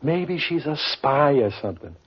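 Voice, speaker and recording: A folksy American sidekick in a golden-age radio play. His voice sits fairly high, and he sounds informal, naive and full of questions. The recording is old and muffled. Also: lively intonation, moderate pace, breathy edge. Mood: casual, teasing. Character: folksy, curious, plain-spoken American male sidekick. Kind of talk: old-time radio drama acting.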